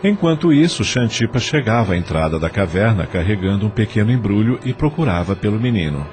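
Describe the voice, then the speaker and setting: Casting: male Brazilian storyteller, low-pitched, scripted audio-drama narration. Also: expressive, fairly quick.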